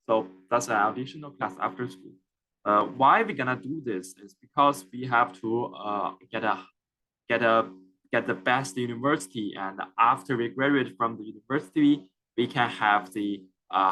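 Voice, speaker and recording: A young man with a Chinese accent talks through a student class presentation. His voice sits low and sounds bright and forceful. He hesitates often, filling gaps and restarting phrases. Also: low-quality recording. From China. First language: Mandarin Chinese. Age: young adult. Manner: halting, many fillers and restarts.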